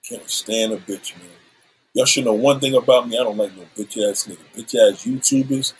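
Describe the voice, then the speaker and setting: A man's voice: mid-range voice, fairly even intonation, moderate pace, a little hiss or hum, poor audio. A man in his seventies ranting on a livestream, combative and profane, issuing threats and calling out rivals. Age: 70s.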